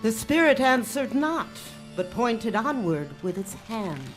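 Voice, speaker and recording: High-pitched woman narrating a radio drama. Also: sing-song, a little slow, faint music behind. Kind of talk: scripted dramatic narration, live radio play.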